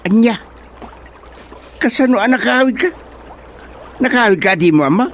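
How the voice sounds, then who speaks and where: A man with an Ilocano accent playing an excitable, bewildered country fellow in a radio comedy drama. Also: extremely high pitch, moderate pace, slight background noise. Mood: puzzled. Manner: exclamatory, theatrical.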